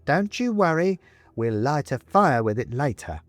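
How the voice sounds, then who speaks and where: A man's voice: medium pitch, sing-song, a little slow, no room sound, soft background music. A man voicing a stoic china woman in a storybook, a plain-spoken, protective mother. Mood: reassuring. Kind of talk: storybook character voice in audiobook.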